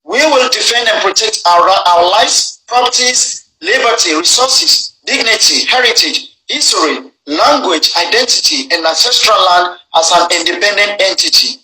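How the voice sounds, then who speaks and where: A man with a Nigerian accent reading a formal declaration aloud, measured and deliberate, with occasional stumbles and self-corrections. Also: high-pitched, animated, pushed and bright, roomy sound, poor audio. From southwestern Nigeria. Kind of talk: formal read-aloud statement.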